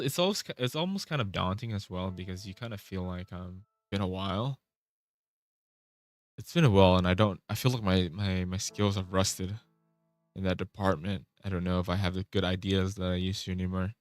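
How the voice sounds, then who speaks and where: A man's voice: low voice, no echo. A man chatting casually on a vlog, rambling and restarting his sentences, swearing now and then. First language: Vietnamese. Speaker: vlogger.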